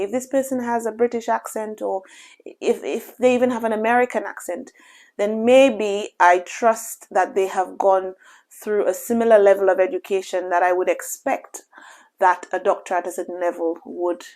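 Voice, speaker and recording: A woman from Lucia, a doctor giving measured, reassuring career advice in a casual vlog, often saying "you know.". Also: mid-range voice, a little mellow.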